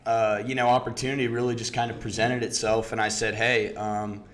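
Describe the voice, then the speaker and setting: A man from Houston, a startup founder, telling his story in a relaxed interview, sprinkling in lots of "you know" and "um". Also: on the low side, brisk. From Houston, Texas.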